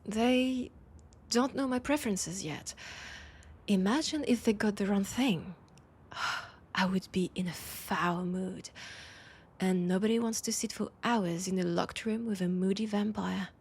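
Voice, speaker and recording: A woman with a French accent playing a poised, teasing vampire and wary old rival in an intimate audio roleplay, slipping into near-whispers. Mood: playful, wry. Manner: slow, low-key teasing with breathy, near-whispered phrases.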